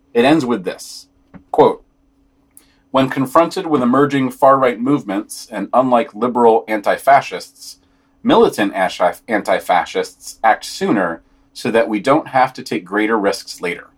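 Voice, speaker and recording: A man with a slightly husky voice reading written news summaries and quotes aloud on a podcast, with some commentary. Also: medium pitch.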